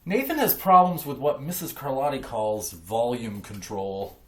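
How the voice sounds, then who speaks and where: A man reading a children's picture book aloud in an expressive, emphatic way, like a teacher. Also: mid-range voice, measured pace. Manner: emphatic, acts out dialogue. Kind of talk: expressive children's storybook narration.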